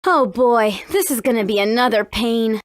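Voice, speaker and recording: Woman playing a high-voiced, spirited adventurer girl. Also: moderate pace, no echo, clean studio sound, lightly acted. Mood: exasperated. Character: spirited, confident, bossy teenage girl adventurer. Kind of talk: video game character acting.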